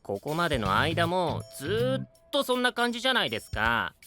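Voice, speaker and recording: A self-assured young tease in an anime audio drama. He keeps his speech polite but smug and needling, with lively swings in pitch over background music. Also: fairly quick, heavily acted. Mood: teasing. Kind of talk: Japanese anime voice drama acting. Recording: music behind the voice.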